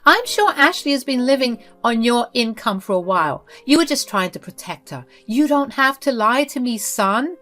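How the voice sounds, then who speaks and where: A woman voicing a pushy, scornful older mother-in-law who talks down in a shrill, condescending way. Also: lively intonation, moderate pace, hardly any pauses, a bit bright, faint music behind.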